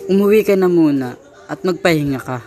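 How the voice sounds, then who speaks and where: A man's voice: on the high side, fairly quick, lightly acted. A young man playing a protective, exasperated student friend in an amateur radio drama. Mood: urging, consoling. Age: young adult. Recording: faint background noise.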